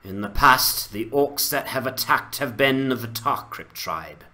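A man voicing a grave, courteous ranger leader with a formal, measured delivery in a fantasy game dramatization. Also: medium pitch, expressive, pushed and bright. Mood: grave, urgent.

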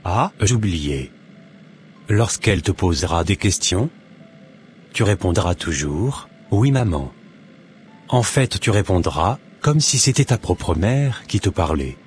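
An actor playing a polite, scheming young evangelist in a cartoon drama, speaking in measured, formal phrases. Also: male voice, sits low, animated, a little hiss or hum. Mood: coaching.

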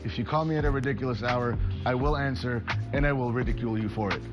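Upbeat man pitching his workshop. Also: quick pace. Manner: upbeat, chatty, joking salesman tone. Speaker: woodworking shop owner. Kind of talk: promotional interview to camera. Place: indoor workshop. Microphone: lapel mic. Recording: music bed loud underneath.

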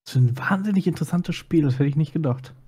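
Male co-player, husky and mellow, mid-pitched, musing casual game commentary. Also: fast.

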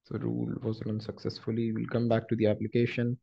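A man working as a software trainer, explaining a screen-share tutorial step by step in a halting, explanatory way. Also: mid-range voice, completely flat delivery, gravelly. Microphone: screen-recording voice-over. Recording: dull lo-fi voice recording.

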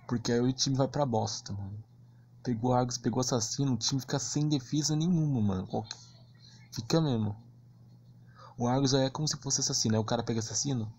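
A man's voice: mid-range voice, slight background noise. A Brazilian man giving casual, slangy commentary on gameplay. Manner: slangy, informal. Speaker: gaming channel host. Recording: muffled lo-fi voice recording.

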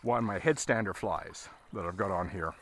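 A man giving a casual, halting fly fishing tutorial as an instructor, talking while he works outdoors. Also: deep voice, a bit bright. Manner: halting, explaining as he casts. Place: outdoors, standing in a river. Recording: outdoor recording with water noise. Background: running water.

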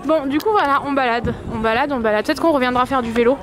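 A woman's voice: high voice, fast talker, a crowd in the background. A young woman chatting casually and enthusiastically in slangy speech, vlogging on a handheld camera outside. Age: young adult. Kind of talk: casual vlog chat. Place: outdoors on a windy seaside promenade. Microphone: handheld camera at arm's length. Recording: loud outdoor wind and street noise.

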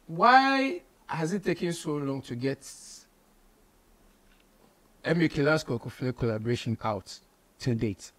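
Male interviewer, Ghanaian accent, slightly high pitch, casual code-switching studio chat. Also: animated, measured pace, pauses often, fairly bright tone. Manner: mixes English with local slang and phrases. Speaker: radio show host, interviewer. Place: small radio studio office, indoors.

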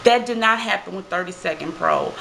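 A woman's voice: on the low side, animated, brisk, vocal fry, fairly bright tone, a little hiss or hum, low-quality recording. A young adult woman chatting in a casual product review vlog, emphatic, with lots of fillers and tag questions, recorded on a webcam in a small room. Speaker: beauty vlogger.

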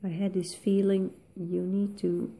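A woman with a Dutch accent, a native Dutch speaker, talking slowly and thoughtfully with many pauses while colouring in an art tutorial. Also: medium pitch, flat delivery, gravelly, dark tone. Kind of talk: relaxed voice-over while colouring.

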